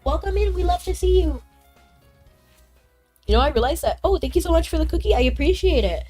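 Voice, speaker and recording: A young woman streaming a game and chatting casually with viewers, bubbly and chatty, a little congested from being sick. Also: very high-pitched. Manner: bubbly, chatty, quick bursts of excitement. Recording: faint background game music.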